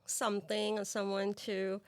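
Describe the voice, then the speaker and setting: A woman's voice: medium pitch, monotone, measured pace, no echo. Chatty woman from Singapore, dry sound. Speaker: podcast guest. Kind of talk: casual chat.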